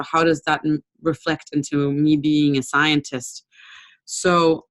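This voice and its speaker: Young woman giving a relaxed webinar talk. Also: low-pitched. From Brazil. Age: young adult. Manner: relaxed, conversational, with frequent ums. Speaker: webinar presenter. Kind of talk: informal talk with slides.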